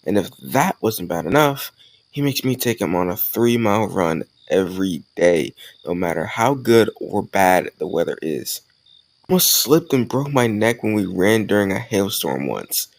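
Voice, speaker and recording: Man performing a young male college student, easygoing, gently teasing, conversational, creaky low voice, intimate romance roleplay. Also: lively intonation, normal speed, dry recording. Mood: friendly, teasing.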